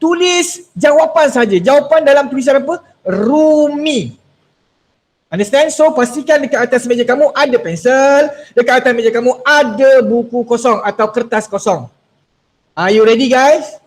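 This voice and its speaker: Male workshop speaker, very high pitch, playful teasing delivery, video-call sound. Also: normal speed. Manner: playful, teasing, slips into English. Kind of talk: lively online workshop talk.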